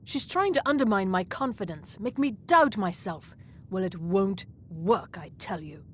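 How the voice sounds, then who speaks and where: A woman's voice: mid-range voice, lively intonation, measured pace, slight background noise, natural voice. An actress playing a vain, defensive female wizard in a fantasy video game, haughty and insistent.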